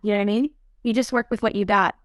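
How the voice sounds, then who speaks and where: A woman's voice: medium pitch, fairly even intonation, quick pace, a bit bright, no room sound, clean studio sound. A young adult woman, an actress being interviewed, talking casually and animatedly, often saying "you know what I mean". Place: studio podcast set.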